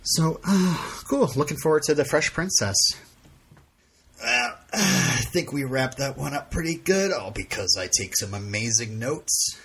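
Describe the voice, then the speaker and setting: A man hosting a podcast in casual chat, rambling and halting with many fillers and frequent laughs. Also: medium pitch, animated, a bit bright, breathy edge. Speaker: podcast host.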